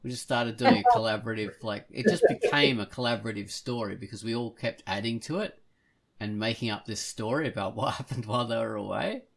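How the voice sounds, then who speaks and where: A man's voice: mid-range voice, lively intonation, fairly quick, gravelly. A man hosting a podcast, telling stories in a casual, rambling, hesitant way. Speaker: podcast host.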